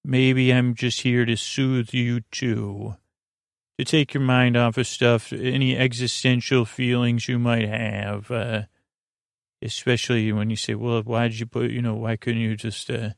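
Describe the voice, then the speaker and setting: A man hosting a bedtime podcast with a creaky voice, rambling in a lulling, halting way with trailing asides. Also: mid-range voice, level tone, dry recording, studio quality. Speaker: podcast host. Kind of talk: rambling, soothing bedtime monologue.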